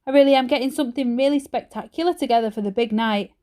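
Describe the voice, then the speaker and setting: Woman playing an awkward, higher-voiced schoolboy. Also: fast talker, mellow, lightly acted. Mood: nervous. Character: awkward, easily embarrassed young schoolboy.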